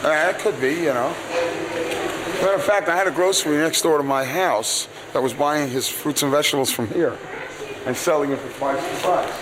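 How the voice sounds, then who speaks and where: A man's voice: a little high, brisk, very noisy. A man being interviewed at home, chatting casually and eagerly showing things. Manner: animated, eager to show things. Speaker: settler interviewee.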